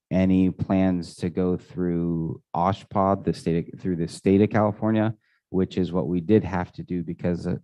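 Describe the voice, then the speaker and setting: A man's voice: deep voice, no room sound. A man answering residents' questions at a community meeting, hesitant and full of fillers and restarts. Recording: dull, dry lo-fi audio.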